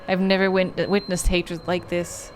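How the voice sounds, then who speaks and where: A woman's voice: fairly low pitch, little pitch movement, normal speed, slight background noise, close to an everyday voice. A woman playing an earnest horror-game protagonist, reading the narration in a dramatic storytelling manner. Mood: tense dread.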